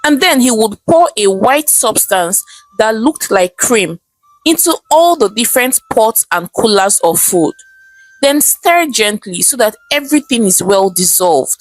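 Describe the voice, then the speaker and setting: A woman with a Nigerian accent narrating a scripted folktale in a dramatic, expressive storytelling manner. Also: mid-range voice, unhurried, no echo, rough recording.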